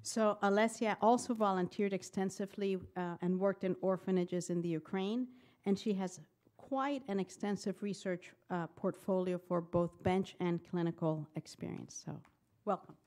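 A woman's voice: medium pitch, fairly even intonation, moderate pace, a little mellow. A woman speaking as a program presenter, introducing new medical residents at a formal public meeting. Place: public board meeting room.

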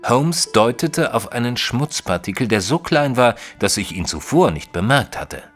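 An actor playing a thoughtful detective's companion who narrates an audio drama in a measured, careful way. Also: male voice, medium pitch, big pitch swings, big-room sound, soft background music. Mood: narrating. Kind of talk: scripted first-person audio drama narration.

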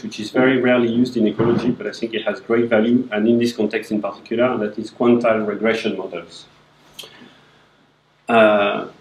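A middle-aged man giving a relaxed classroom lecture, hesitant with many fillers, recorded by a camera at a distance. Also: on the low side, reverberant, slight background noise. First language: not Norwegian. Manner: hesitant, many "uh" fillers, gestures while talking. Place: classroom with projector screen. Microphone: far camera.

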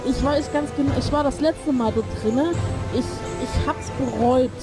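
A woman's voice: high voice, normal speed. A woman casually commenting on an online game she is playing, a bit sick and short of breath, rambling and correcting herself with jokes. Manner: rambling, self-correcting, joking. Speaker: gameplay streamer. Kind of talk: casual let's play commentary. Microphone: desk microphone in a new recording room. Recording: voice over loud game music.

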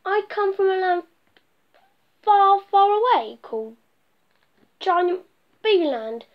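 A woman voicing a sweet young bear cub posing as a bee, slow and hesitant in a storybook reading. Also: very high-pitched, sing-song, pitched above the performer's own voice, full character voice. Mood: bashful. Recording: dull lo-fi recording.

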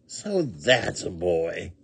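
A man's voice: fairly high pitch, sing-song, takes it slowly, mellow, breathy edge, no room sound, pitched above the performer's own voice, full character voice. A man voicing a sly, scornful weasel in a storybook, with a sneering manner. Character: sly, scornful male weasel with a scary smile.

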